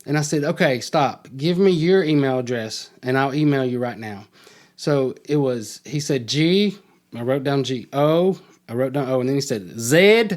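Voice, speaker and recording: A man's voice: mid-range voice, animated, normal speed. Middle-aged man telling a personal story. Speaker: podcast guest telling his life story.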